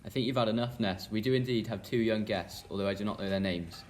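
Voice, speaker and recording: Fast-talking pompous vampire count played by a man. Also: lowish voice, a little mellow, a little hiss or hum, lightly acted. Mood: grandly hospitable. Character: grandly welcoming vampire count, formal and sinister. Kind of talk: amateur radio drama acting.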